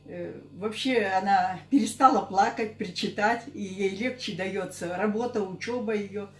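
A woman of sixty-seven giving halting, unscripted interview answers, often repeating herself. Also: mid-range voice, a little hiss or hum. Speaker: interviewee giving a product testimonial. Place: small curtained indoor office. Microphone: camera a couple of metres away. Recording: echoey room.

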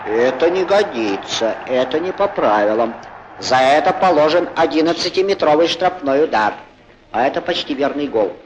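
A high-voiced, excitable male football commentator from a 1940s cartoon acted by a man. His theatrical play-by-play swings widely in pitch, chatty and good-humoured, over a clearly noisy vintage film soundtrack. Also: moderate pace, lo-fi sound, close to an everyday voice. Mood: concerned, wry. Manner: chatty, theatrical, exclaiming, correcting himself. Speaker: sports commentator.

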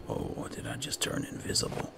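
A man muttering sparse remarks as he gives roleplay commentary over a video game. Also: moderate pace. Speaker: gaming host. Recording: clearly noisy game ambience behind. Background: game ambience.

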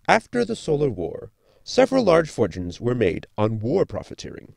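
A polite, pedantic science robot from a sci-fi audio comedy, acted by a man, with a heavily creaky voice that swings up and down dramatically. He speaks in formal, precise sentences with deference, in a very dry, close sound. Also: medium pitch, a little mellow, dry recording, heavily acted.

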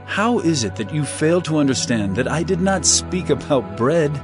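A man's voice: a little high, very animated, moderate pace, music in the background. An actor playing an earnest male religious teacher in a dramatized scripture reading, with emphatic delivery. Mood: reproving.

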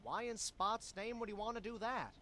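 High-voiced, grumpy animal sidekick. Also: male voice, animated, normal speed, bright, forceful tone, slight background noise. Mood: exasperated. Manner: sarcastic, exasperated. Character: grumpy skeptical animal sidekick, male, exasperated worrier. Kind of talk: cartoon adventure game voice acting.

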